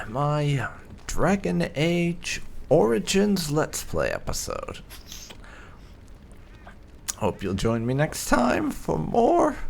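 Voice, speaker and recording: Slow, creaky-voiced man with swooping pitch. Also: slight background noise. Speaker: gaming YouTuber. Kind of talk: casual let's play commentary with light roleplay.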